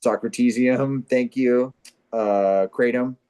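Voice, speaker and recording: A man's voice: medium pitch, fairly even intonation, slow pace, mellow, clear, resonant tone, close to an everyday voice. A man playing a rambling, deluded struggling actor. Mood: earnest self-justifying. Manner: hesitant, rambling, earnestly self-justifying. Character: deluded middle-aged male struggling actor, defensive and boastful. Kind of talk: improvised-sounding comic dialogue.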